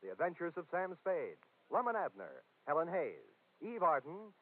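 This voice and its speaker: A man's voice: on the high side, huge pitch swings, moderate pace. A man announcing in a polished, promotional, scripted 1940s network radio style. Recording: tinny 1940s radio recording.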